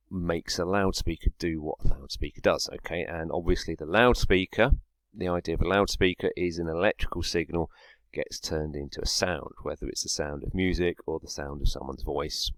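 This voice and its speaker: A man's voice: low-pitched, no room sound. A man teaching a science lesson, explaining step by step and often checking in with a short tag word. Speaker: physics teacher.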